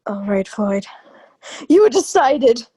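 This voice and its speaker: High-pitched cornered wife played by a woman. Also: big pitch swings, slow pace, noisy background. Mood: desperate, defiant. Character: cornered, desperate young wealthy wife hiding a past. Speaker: actor. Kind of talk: old-time radio crime drama acting.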